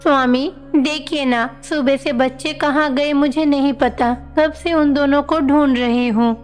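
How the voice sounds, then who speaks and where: A woman voicing a gentle mother goddess who frets over her children in a devotional cartoon. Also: sits high, steady tone, a little slow. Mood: worried. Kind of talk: animated devotional story dialogue. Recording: long reverb, music underneath.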